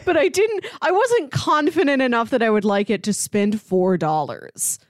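A woman's voice: high-pitched, lively intonation, unhurried, no echo, clean studio sound. A woman co-hosting a podcast, talking through films in a casual, conversational style. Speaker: podcast co-host. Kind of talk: casual film discussion podcast.

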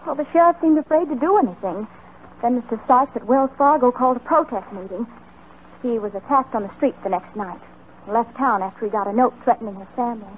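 Worried western homesteader's wife female actor, high earnest voice, pleading, on a noisy, muffled vintage radio recording. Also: fairly quick, natural voice. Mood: fearful. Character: worried, pleading frontier wife, adult woman. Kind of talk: old-time radio western acting.

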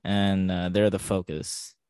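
A man with a fairly low voice co-hosts a casual film podcast. He thinks aloud, hesitating and rephrasing as he goes. The sound is very dry, with no room echo. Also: flat delivery, slow pace, darkish tone, smooth. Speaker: podcast co-host.

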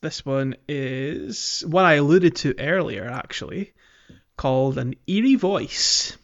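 A man's voice: a little high, animated, slow. A man casually recapping a video game as a podcast host, explaining in a hesitant, giggly way. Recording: slightly dull audio, music underneath. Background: background music.

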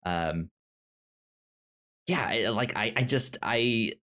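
A man co-hosting a podcast, chatting casually about film in a halting way, often stammering and repeating the starts of words. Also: on the low side, lively intonation, pushed and bright. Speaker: podcast co-host.

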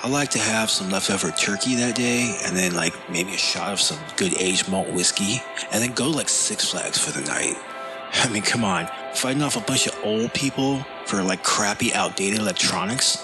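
A man's voice: on the low side, moderate pace, over music, lightly acted. An actor playing a jaded, slangy young man giving a dismissive casual street interview. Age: young adult. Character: jaded slangy male millennial interviewed for news. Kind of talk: casual vox-pop talk.

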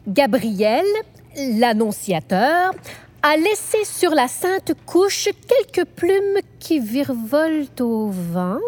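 An earnest young woman, reciting slowly with a fairly high, lively voice. Her delivery is careful and devout. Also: heavily acted. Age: young adult.

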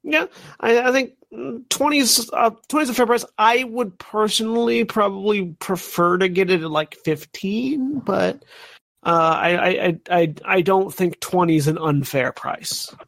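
A man with a fairly high voice hosts a relaxed review discussion. He talks in a halting, repetitive way. The sound is close and dry, with very little room in it. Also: creaky, dry recording. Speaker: podcast host. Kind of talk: casual board game review chat.

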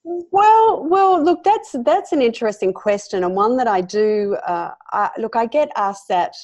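A woman's voice: fairly high pitch, animated, a little slow, darkish tone, dry recording. An Australian woman in her fifties, a founder and media commentator, talking chattily as a podcast guest with many fillers and restarts. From South Australia. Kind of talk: casual interview talk.

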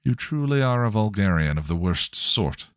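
Man reading stuffy proper gentleman, low voice, dry sound. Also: very animated, moderate pace, no echo. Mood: prim. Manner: prim, fussy, formal. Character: prim, proper young gentleman, the vampire's soul.

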